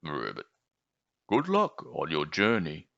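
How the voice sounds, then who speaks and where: A man voicing a friendly, contented swamp frog in a storybook, with a croaky, settled, ribbiting delivery. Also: extremely low pitch, huge pitch swings, takes it slowly, bright, forceful tone, dry recording, lo-fi sound, voice pushed down, heavily acted. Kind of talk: storybook character voice.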